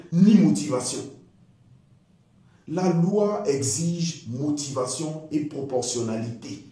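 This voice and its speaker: A man delivering a fiery political speech in a forceful, declamatory way with emphatic repetition. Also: fairly high pitch. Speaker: political activist. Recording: very echoey, reverberant sound.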